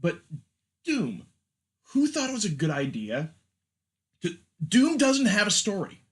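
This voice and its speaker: A man's voice: sits high, wildly swooping pitch, unhurried, a bit bright. A man in his thirties co-hosting a podcast, talking casually and steering the discussion along. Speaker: podcast co-host.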